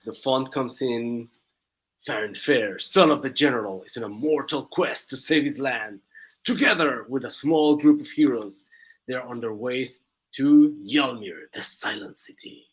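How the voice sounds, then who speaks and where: A man's voice: mid-range voice, unhurried, breathy edge, poor audio. A young man with a Swiss-Mexican accent, a guest chatting casually on a live stream, laughing often and restarting words. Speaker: guest comic creator.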